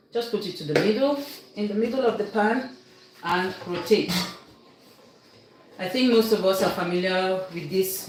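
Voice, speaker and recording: A woman with a Cameroonian English accent talks viewers through a baking step in a friendly, instructive way, often pausing to check in with them. Her voice sits in a middle pitch. The sound is very echoey, with a faint hiss behind it. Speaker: cooking livestream host. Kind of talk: casual live baking demonstration. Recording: very echoey room, faint noise.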